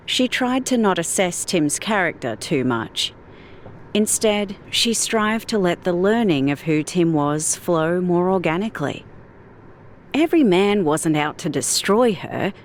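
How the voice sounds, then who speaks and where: A woman narrating a scripted audiobook story in an expressive storytelling manner. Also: fairly low pitch, slight background noise.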